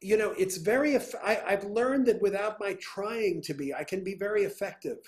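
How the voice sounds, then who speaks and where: A man's voice: high voice, moderate pace. A middle-aged man, a composer, talking earnestly on a video call from his home, often restarting his sentences. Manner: earnest, animated, many restarts. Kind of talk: casual video call conversation. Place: home room with piano. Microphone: video call webcam.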